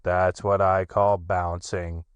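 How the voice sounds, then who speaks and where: A man voicing a gloomy, sulky old male donkey with a slow, heavy, mournful drawl. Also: low voice, little pitch movement, clear, resonant tone, no room sound. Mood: gloomy, put-upon.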